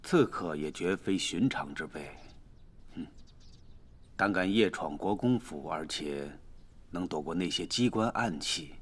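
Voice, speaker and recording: An actor playing a calculating, fatherly duke nearing fifty in a Chinese period drama, speaking with measured authority. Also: low-pitched, lively intonation, breathy edge, exaggerated voice. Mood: grave, instructive. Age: middle-aged.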